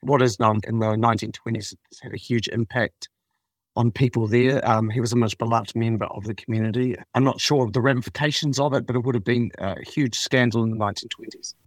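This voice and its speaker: A man with a New Zealand accent, a reporter answering interview questions in a relaxed, somewhat hesitant way. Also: mid-range voice, a little fast, no room sound.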